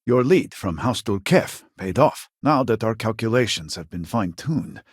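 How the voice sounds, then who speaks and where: An earnest, exasperated male scientist from a sci-fi game. His husky voice swings widely in pitch as he speaks in formal, measured phrases. The recording is close and dry. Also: expressive, no room sound. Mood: determined, solemn. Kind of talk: video game character dialogue.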